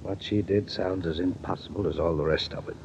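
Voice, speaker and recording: Very deep, somber gentleman. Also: fairly even intonation, brisk, creaky, slight background noise. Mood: grave, stunned. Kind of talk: 1940s-50s radio drama acting. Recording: muffled old radio recording, faint music.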